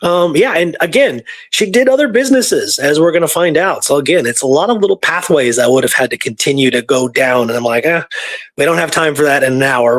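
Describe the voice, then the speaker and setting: Higher-voiced man talking through local history. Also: dry recording. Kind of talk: casual history talk retelling research from notes.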